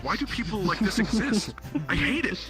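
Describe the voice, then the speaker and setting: A man voicing a cynical, world-weary baby in a comic story reading, with a blunt deadpan manner. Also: high voice, normal speed, pitched above the performer's own voice. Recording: music playing behind the voice.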